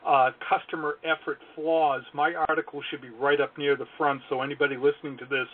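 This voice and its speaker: A man's voice: fairly high pitch, flat delivery, fairly quick. Analytical man on a tinny phone line. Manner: earnest, analytical, wry self-deprecating laughs. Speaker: guest consultant. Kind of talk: podcast interview talk.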